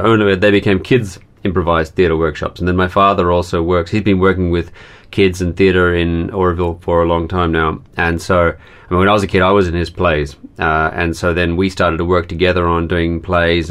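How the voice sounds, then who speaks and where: A man from Australia who leads children's theatre workshops, telling his story in a casual, hesitant way with many pauses and fillers. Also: deep voice, clean tone. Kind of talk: casual personal account.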